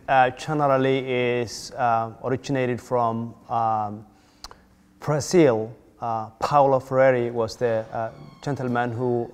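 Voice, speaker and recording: A man in his forties, from Somalia, facilitating a community workshop in a measured way, with many fillers and restarts. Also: medium pitch, clear, resonant tone, in a large hall. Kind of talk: workshop introduction. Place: community room, indoors.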